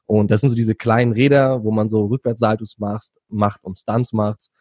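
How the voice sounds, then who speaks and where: A man's voice: on the low side, little pitch movement, moderate pace, darkish tone, no room sound. A young man from Berlin, recounting his youth as an interview guest in a rambling way, with many fillers and restarts. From Berlin, Germany. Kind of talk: relaxed conversational storytelling.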